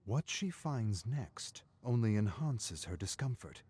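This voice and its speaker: A man's voice: fairly low pitch, very animated, takes it slowly, a little mellow, slightly rough. A man reading a scripted story as a dramatic narrator.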